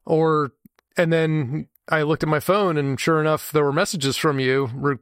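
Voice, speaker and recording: A man with a slightly raised pitch, chatting casually as a podcast co-host. He rambles through his stories and laughs often. The recording is dry and close, with no room sound. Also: steady tone, normal speed, clean tone, dry recording. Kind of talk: casual chat.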